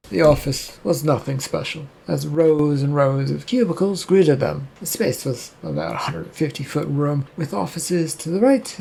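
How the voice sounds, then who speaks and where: A man narrates fiction in a slightly high voice with a husky, gravelly edge. He reads scripted prose as a storyteller, with creak running through his words. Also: mellow.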